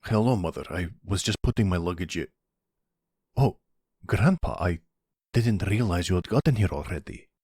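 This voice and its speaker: A man's voice: low voice, lively intonation, normal speed, long pauses, mellow, slightly rough, dry recording, clean studio sound. A man voicing a polite, nervous young grown son in a mafia ASMR roleplay, hesitant and stammering. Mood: polite, surprised.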